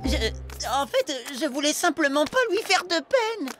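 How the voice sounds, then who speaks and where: An awkward young cartoon amoeba boy with a high voice. The delivery leaps around, theatrical and stammering when flustered. Loud music plays behind. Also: sing-song, moderate pace. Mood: apologetic. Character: Squish, excitable, awkward young amoeba boy, eager to be liked. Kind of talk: animated cartoon voice acting.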